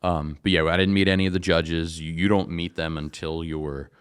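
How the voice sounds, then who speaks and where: Male interview guest, low and smooth, easygoing conversational delivery, close dry sound. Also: steady tone, moderate pace, no room sound. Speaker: interview guest, singer and musician. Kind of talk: casual storytelling in an interview.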